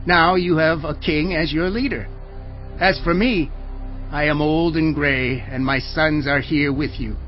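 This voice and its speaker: An actor playing an old gray prophet, solemn and emphatic, declaiming in a dramatized audio Bible. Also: male voice, fairly high pitch, measured pace, dull audio, faint music behind, close to an everyday voice. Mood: solemn, challenging. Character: old gray prophet, stern, solemn leader addressing his people.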